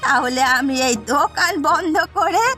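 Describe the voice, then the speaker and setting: A woman's voice: high-pitched, lively intonation, measured pace, bright, forceful tone, faint music behind, exaggerated voice. A woman voicing an earnest, emphatic village shopkeeper in a fairy-tale cartoon. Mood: firm, resolute. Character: earnest village woman running a food shop.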